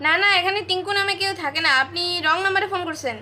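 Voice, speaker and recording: A woman, acting in comedy phone-call skits in an exasperated, expressive way. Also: extremely high pitch, rapid-fire. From North Bengal, India. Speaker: comedy video creator.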